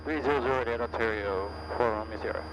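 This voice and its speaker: A man's voice: mid-range voice, fast talker. A man piloting a small plane, giving clipped radio readbacks and short cockpit callouts. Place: small airplane cockpit in flight. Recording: very noisy cockpit, old aircraft radio.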